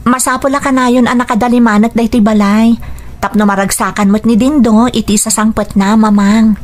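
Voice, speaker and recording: An actress playing a patient, devoted young wife in a radio drama, earnest and long-suffering. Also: female voice, a little high, fairly even intonation, brisk, natural voice. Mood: dutiful, reassuring.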